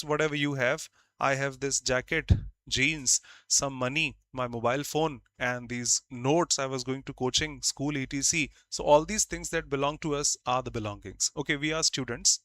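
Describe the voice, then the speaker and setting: A man's voice: mid-range voice, normal speed, fairly bright tone, dry recording. A man from India, a Hindi speaker, teaching an online grammar class and stressing key words by repeating them.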